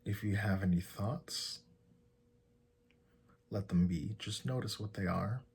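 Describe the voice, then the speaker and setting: Man, meditation guide, deep voice, heavily breathy, calm gentle instruction, long pauses. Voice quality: soft, breathy, husky. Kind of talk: guided meditation, soft and slow.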